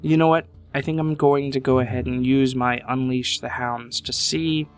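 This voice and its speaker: A man commentating his card game match casually, thinking aloud at an unhurried pace, his voice a little congested from a sinus infection. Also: medium pitch, fairly even intonation, clear, resonant tone, faint music behind.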